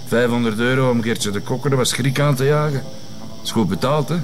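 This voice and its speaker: A man's voice: medium pitch, brisk, soft background music, natural voice. An actor playing a stressed, curt man making shady deals, with a Flemish accent, in a naturalistic TV drama. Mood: tense, curt.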